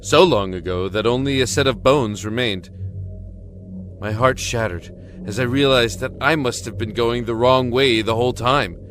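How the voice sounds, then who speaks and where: A man's voice: mid-range voice, normal speed, fairly bright tone, close to an everyday voice. Man acting a desperate, brooding prisoner, music behind. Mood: brooding, haunted. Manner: earnest, emotive, brooding storytelling. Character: desperate imprisoned man recounting his ordeal in darkness. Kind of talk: dramatic first-person audio drama narration.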